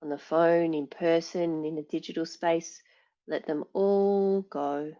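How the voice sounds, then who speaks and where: A woman teaching yoga nidra, guiding relaxation in a calm, soothing, measured way with long pauses. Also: deep voice, muffled recording. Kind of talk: guided relaxation, meditation instruction.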